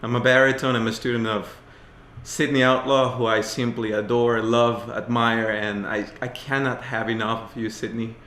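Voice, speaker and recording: A man's voice: medium pitch, flat delivery, moderate pace, fairly bright tone, a little hiss or hum. A man in his twenties from Ecuador, effusive and heartfelt in casual group conversation, in a roomy, echoey recording. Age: 20s. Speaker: baritone voice student, podcast guest.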